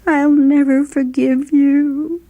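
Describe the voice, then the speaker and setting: A woman's voice: high voice, flat delivery, takes it slowly, mellow, no room sound, voice pushed up. A woman voicing a self-pitying, ravenous young woman with a plaintive, wailing manner in a dramatic story reading. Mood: wailing fury.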